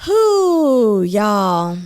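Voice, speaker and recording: A woman's voice: mid-range voice, very animated, extremely slow, darkish tone. A woman from Kenya with a Kenyan accent, chatting casually to viewers on a live stream about a TV show. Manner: chatty, addresses viewers as y'all.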